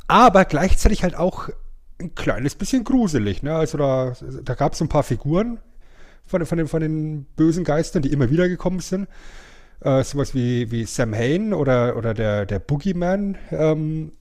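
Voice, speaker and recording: A middle-aged man talks casually as a podcast co-host. His voice sits a little high for a man. He has a wry, self-deprecating way of putting things. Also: expressive, normal speed. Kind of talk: casual chat.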